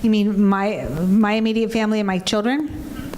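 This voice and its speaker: A woman in her forties talks earnestly at a panel, her thoughts dotted with ums and restarts. Her pitch sits mid-range. A faint hiss sits behind her. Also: steady tone, normal speed, a little mellow. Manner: earnest, many fillers. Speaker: panel guest. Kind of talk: reflective panel talk.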